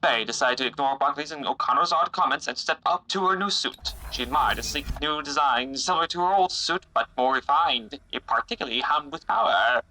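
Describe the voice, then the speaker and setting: A man's voice: on the high side, a little fast, few pauses, pushed and bright, low-quality recording. A man narrating a scripted audio drama.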